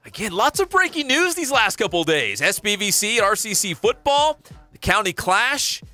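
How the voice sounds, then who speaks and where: A man's voice: high-pitched, moderate pace, dry recording, faint music behind. A man hosting a sports radio show in a studio, talking casually and reading station sign-offs. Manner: lively, promo-style sign-offs. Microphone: studio boom mic.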